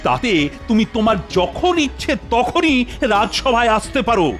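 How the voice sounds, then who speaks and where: A man playing an authoritative, easily exasperated cartoon king with a commanding, expressive manner. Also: extremely high pitch, normal speed, faint music behind. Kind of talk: Bengali cartoon voice acting.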